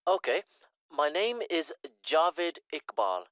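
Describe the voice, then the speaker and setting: Polite eager student caller, really up and down pitch, dry recording. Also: male voice, sing-song, takes it slowly, fairly bright tone, no echo, full character voice. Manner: polite, careful. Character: courteous eager male Pakistani student enquiring by phone. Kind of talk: acted listening-test dialogue.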